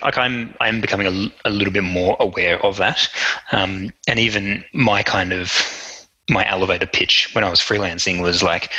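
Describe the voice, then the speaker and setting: A man's voice: sits low, bright, forceful tone, breathy edge, poor audio. A man as a podcast guest, speaking reflectively and hesitantly with many fillers. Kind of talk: casual interview conversation.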